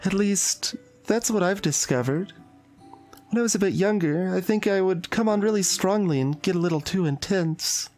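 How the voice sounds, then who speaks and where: Woman voicing anxious shy visual novel schoolgirl, halting and kinda obsessive, music playing under her. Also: mid-range voice, a little mellow, natural voice. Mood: troubled, confessional. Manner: hesitant, halting confiding. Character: shy, anxious, intense high school girl, unsettlingly obsessive. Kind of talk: dramatic visual novel voice acting. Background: background music.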